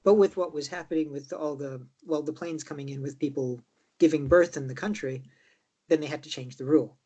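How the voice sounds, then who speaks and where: Older American-accented man, husky and a bit high-pitched; loose, rambling interview guest. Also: fairly quick, dark tone. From born in Chicago, raised between the US and Ireland. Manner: rambling, with frequent restarts and repeated words. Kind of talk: casual conversational interview.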